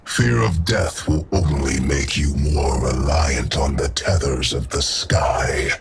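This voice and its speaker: An actor playing an ancient, menacing Hive god who speaks slow, ominous pronouncements from a distance over game music. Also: male voice, extremely low pitch, creaky, exaggerated voice.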